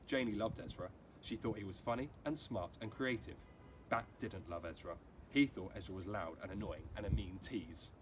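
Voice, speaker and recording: A man's voice: fairly low pitch, moderate pace. A man reading a children's storybook aloud like a teacher, acting out the dialogue expressively. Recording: clearly noisy amateur recording.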